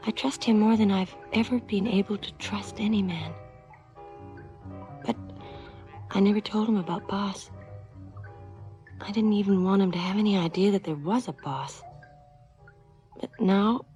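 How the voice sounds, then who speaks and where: An actress playing a crime boss's wistful, wary mistress in a seventies radio drama, confiding in hushed tones that often slip into whispers. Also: female voice, on the low side, close to an everyday voice. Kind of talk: 1970s radio drama acting, intimate confessional monologue and dialogue.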